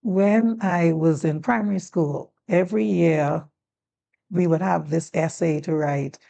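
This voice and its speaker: A woman's voice: lowish voice, a little slow, vocal fry. A woman in her sixties with a Turks and Caicos accent, a talk-show guest telling stories from her life and acting out the dialogue. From Turks and Caicos Islands. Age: 60s. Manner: animated storyteller who acts out quoted dialogue. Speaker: guest, former teacher and district commissioner. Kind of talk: relaxed storytelling in a talk-show conversation.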